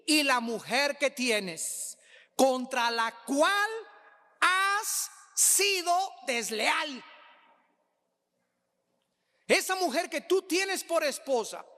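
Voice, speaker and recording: High-pitched, emphatic Mexican male preacher. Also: bright, forceful tone. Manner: emphatic, repeats phrases for effect. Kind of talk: sermon. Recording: echoing hall with long reverb.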